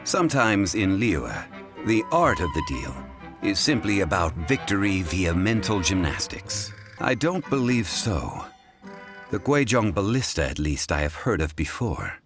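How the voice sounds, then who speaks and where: A man voicing a composed, courteous, scholarly gentleman in a video game, speaking in measured, formal, old-fashioned phrases. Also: deep voice, very animated, music in the background, exaggerated voice. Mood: courteous, explaining. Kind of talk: scripted video game dialogue.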